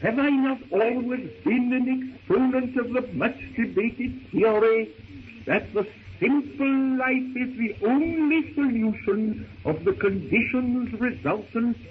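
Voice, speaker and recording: An actor playing a pompous, stammering elderly professor, pedantic and absent-minded, in old-time radio drama style. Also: male voice, very high voice, slow. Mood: blustering. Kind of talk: 1930s radio drama acting. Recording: tinny, noisy old radio recording.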